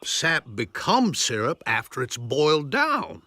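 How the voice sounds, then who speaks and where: A man's voice: fairly high pitch, huge pitch swings, measured pace, noisy background, exaggerated voice. A man voicing a friendly, patient country syrup maker in a children's cartoon, explaining things with good humor. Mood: amused, helpful. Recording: music behind the voice.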